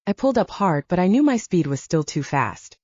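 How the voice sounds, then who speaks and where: A woman narrates a story in a dramatic, expressive style. Her voice is a little mellow and faintly husky, moving widely in pitch. The audio is lo-fi. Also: a little fast, no room sound.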